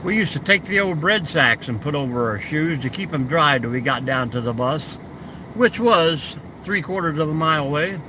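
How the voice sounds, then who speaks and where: A man's voice: on the high side, lively intonation, normal speed, background noise. An older man hosting a casual live stream, answering viewer comments in an easygoing, folksy way and reminiscing about the old days.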